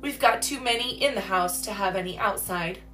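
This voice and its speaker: A woman's voice: medium pitch, moderate pace, bright, forceful tone, distant mic, faint music behind, close to an everyday voice. Woman playing a practical, homely mother. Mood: firm. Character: practical, thrifty, concerned mother. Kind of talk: storybook character voice.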